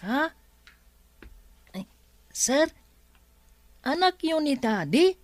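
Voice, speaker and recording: A woman's voice: high voice, big pitch swings, drawn-out words, no echo, full character voice. A woman voicing an innocent, chatty little boy in an Ilocano radio drama, with an Ilocano accent. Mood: innocent, curious.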